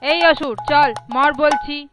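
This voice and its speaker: A woman plays a bossy cartoon warrior goddess. She is curt, teasing and threatening, with music playing clearly underneath. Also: sits high, takes it slowly. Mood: commanding. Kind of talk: comic cartoon dubbing.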